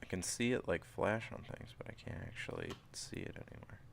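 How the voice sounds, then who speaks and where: Deep gravelly sniffly man streaming. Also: huge pitch swings, fairly quick, a little hiss or hum. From St. Louis area, USA. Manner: rambling, thinking aloud, self-interrupting. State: sniffly from a cold. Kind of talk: casual livestream worldbuilding. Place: small home room at a desk. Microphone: desk microphone in front, webcam.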